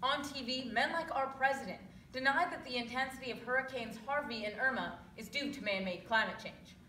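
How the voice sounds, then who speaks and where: Young female stage reciter, high forceful voice, echoey distant recording. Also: fairly quick. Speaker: readers theatre performer. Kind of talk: dramatic scripted recitation. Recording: echoey room, distant mic, faint noise.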